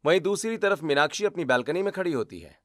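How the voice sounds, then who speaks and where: A male narrator reads a scripted tale. His voice sits on the higher side and swings widely in pitch from phrase to phrase. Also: expressive, quick pace. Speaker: story narrator.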